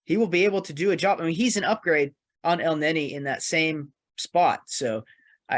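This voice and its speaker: A man on a podcast panel talking football casually, reasoning things out in a measured, halting way. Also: a little high.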